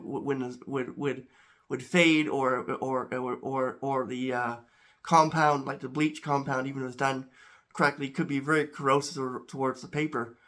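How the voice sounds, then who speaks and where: A man hosting a home chemistry channel talks casually to camera, a little congested, halting with many restarts and repeated words. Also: mid-range voice, fairly bright tone.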